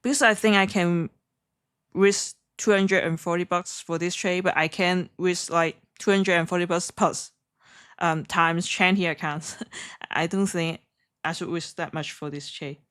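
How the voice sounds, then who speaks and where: A woman speaking as a live stream trading host, chatting casually in a giggly, hesitant, halting way. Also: fairly low pitch, steady tone, a bit bright, clear, resonant tone. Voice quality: non-native English.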